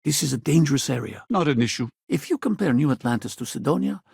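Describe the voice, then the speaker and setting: A man playing a weathered, loyal spacefaring pilot in a video game, gravelly and husky, with a casual, wry way of talking. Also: medium pitch, animated, fast, a little mellow, no room sound, studio quality, exaggerated voice. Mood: friendly. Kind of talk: video game companion dialogue.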